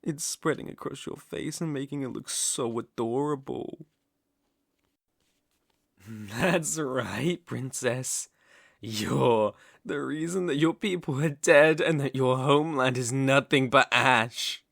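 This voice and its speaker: A man's voice: medium pitch, creaky, fairly bright tone, no echo, studio quality, exaggerated voice. A man playing an obsessive, sinister young villain king in an ASMR roleplay, speaking theatrically and sometimes dropping to a whisper. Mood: gloating. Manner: sinister, theatrical, slow drawn-out phrasing with whispers.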